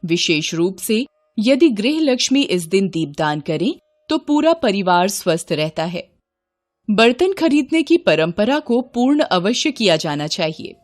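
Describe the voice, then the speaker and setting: A woman reading a scripted devotional folk tale in a measured, expressive storytelling manner. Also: medium pitch. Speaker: storyteller, narrator.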